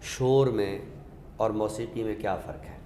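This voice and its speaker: Echoey Pakistani man, scholarly and deliberate. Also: fairly low pitch, steady tone, warm tone. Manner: scholarly, deliberate, explains by question and example. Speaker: literary expert on a talk show. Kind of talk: explanatory literary discussion. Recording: echoey hall reverb with background noise.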